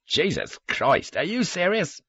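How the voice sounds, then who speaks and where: A man's voice: fairly low pitch, sing-song, fairly quick, slightly rough, no echo, lo-fi sound. A man reading an audiobook as a blunt, sweary, hearty Devon man who is dismissive of everything, with a Devon accent. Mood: incredulous. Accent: Devon English.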